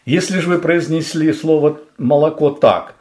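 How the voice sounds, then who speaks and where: A man teaching pronunciation in a scripted lesson, speaking slowly and deliberately and stressing vowels for demonstration. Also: a little high, darkish tone. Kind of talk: scripted instructional narration.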